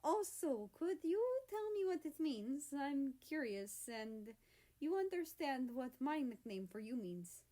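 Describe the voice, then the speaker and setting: A woman's voice: high voice, big pitch swings, takes it slowly, dark tone, pitched above the performer's own voice, exaggerated voice. A woman voicing a shy, easily flustered young man who stammers and hesitates.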